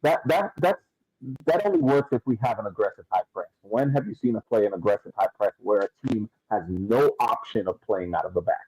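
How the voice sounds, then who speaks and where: A man on a podcast panel giving casual sports analysis, explaining in halting, emphatic phrases that he often repeats. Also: on the low side, expressive, dark tone, slightly rough, dry recording, low-quality recording.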